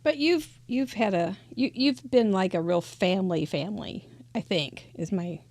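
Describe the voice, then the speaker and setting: Host, woman, middle-aged, squeaky voice with fry, chatty and laughing. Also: moderate pace, a little mellow, no echo, studio quality. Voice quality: squeaky high voice. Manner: chatty, laughs often, trailing restarts. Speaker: host of a live crafting show. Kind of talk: casual interview chat.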